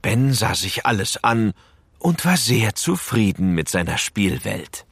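A male narrator tells a children's story in an expressive, unhurried way. His voice is fairly low and recorded close and dry. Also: slightly rough, dry recording.

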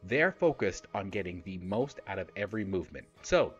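Lively, blunt man giving fitness advice. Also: deep voice, brisk, lo-fi sound, loud music behind the voice. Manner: animated, blunt and playful, with casual swearing. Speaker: fitness YouTuber host. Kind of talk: direct-to-camera fitness advice. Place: small indoor home studio room. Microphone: close podcast microphone, seen.

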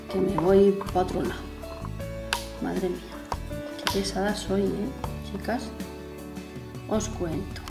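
A woman, chatting casually to camera in a rambling way with self-mocking asides. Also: medium pitch. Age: 40s. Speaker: vlogger. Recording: echoey room with faint music.

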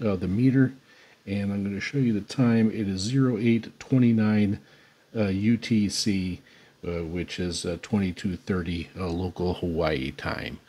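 Husky man, halting demo talk. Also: on the low side, steady tone, dark tone. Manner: halting, hesitant delivery. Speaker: host demonstrating radio antennas. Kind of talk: unscripted hands-on demonstration commentary. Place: indoor room beside radio desk.

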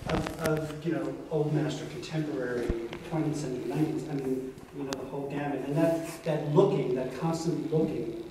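A man's voice: medium pitch, steady tone, fairly quick. A man on a collectors' panel explaining things in a rambling way with many fillers, recorded by a far camera in a gallery room. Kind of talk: casual panel discussion. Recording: echoey room, far off, audible hiss.

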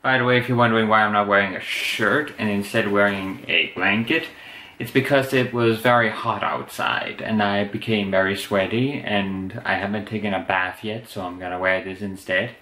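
A young man gives casual reaction commentary. His low voice is bright and animated, recorded in an echoey small room. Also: moderate pace, slight background noise. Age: young adult. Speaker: reaction video host. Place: small indoor bedroom.

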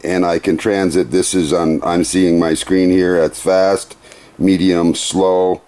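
Middle-aged male demonstrator, workshop, low pitch, slow methodical plain delivery. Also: little pitch movement, dark tone. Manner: unhurried, methodical, plain-spoken with small restarts. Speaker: CNC machine demonstrator. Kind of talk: hands-on equipment demonstration. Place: workshop beside a CNC router.

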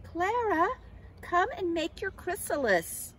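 A woman's voice: very high voice, wildly swooping pitch, unhurried, a little hiss or hum, voice pushed up, full character voice. A woman voicing a friendly young male caterpillar in a storybook, kind and encouraging. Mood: calling out. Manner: encouraging, comforting.

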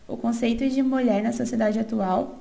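A young woman from Brazil presents a student research project, reading steadily from her slides. Her voice sits a little high and has a strong, gravelly fry running through it. She stumbles now and then, restarts a word, and opens each new section with a short filler. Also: fairly even intonation, moderate pace, warm tone. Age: young adult. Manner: reads steadily from notes with small restarts and filler "é".

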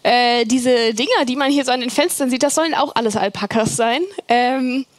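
A young woman talks casually and rambles, stringing thoughts together with lots of fillers. Her voice is a little high. The sound is dry and close. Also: moderate pace, no room sound. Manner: chatty, rambling, many fillers. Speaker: event moderator. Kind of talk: casual stage chat. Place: event hall.

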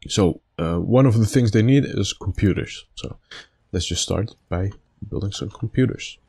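A man with a slightly raspy, stuffed-up voice giving casual gameplay commentary, thinking aloud in a halting way. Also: lowish voice, darkish tone. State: stuffy nose after being sick. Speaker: gaming video host.

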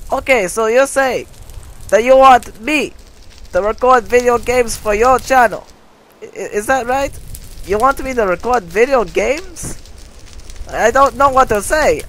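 A man's voice: very high-pitched, a little slow, long pauses, a bit bright, pitched above the performer's own voice, low-quality recording. A man voicing an eccentric, rambling male cooking-show host who keeps interrupting himself. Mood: flustered. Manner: rambling, silly, self-interrupting. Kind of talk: comedic game-video voice acting.

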